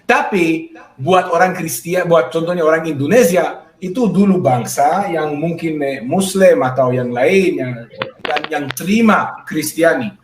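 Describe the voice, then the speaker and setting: A man's voice: a little high, measured pace. A man adding to a religious discussion, agreeable and hesitant, with many filler sounds and a few English words. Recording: echoey room.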